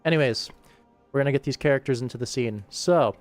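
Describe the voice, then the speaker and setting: A young man with a mid-pitched voice talks casually as an animator streaming his work. He rambles, jokes and pokes fun at himself as he goes. Music plays behind him. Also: a little fast. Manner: rambling, self-deprecating, jokey. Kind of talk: casual livestream chat while working. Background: background music.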